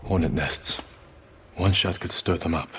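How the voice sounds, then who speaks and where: An actor playing an earnest, determined samurai warrior in a video game, with a grave, terse delivery. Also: male voice, low-pitched, steady tone, measured pace, noisy background. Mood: urgent, focused. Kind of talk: dramatic video game acting. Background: loud background music.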